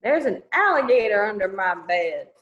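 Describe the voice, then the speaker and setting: A woman's voice: mid-range voice, big pitch swings, moderate pace, fairly bright tone, dull audio, a little hiss or hum. A woman hosting a children's storytime, reading aloud playfully with casual, exclamatory asides. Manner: playful, exclamatory, commenting as she reads. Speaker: children's librarian storytime host.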